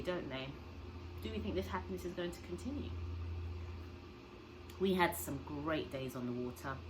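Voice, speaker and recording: A woman teacher reading a storybook aloud expressively to children, pausing to explain and ask simple questions. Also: fairly low pitch, background noise.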